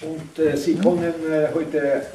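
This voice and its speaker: A man interpreting for a tour group, halting and hesitant, filling pauses as he searches for words. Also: a little high, flat delivery, mellow. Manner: hesitant, many fillers and restarts. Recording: echoey room from far off with faint noise.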